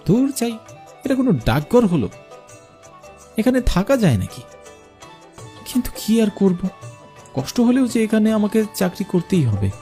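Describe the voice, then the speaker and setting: A man's voice: a little high, warm tone. A man playing an earnest postmaster, narrating his own ghost story expressively in a scripted cartoon. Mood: grumbling, resigned. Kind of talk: first-person scripted cartoon horror narration. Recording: music behind the voice.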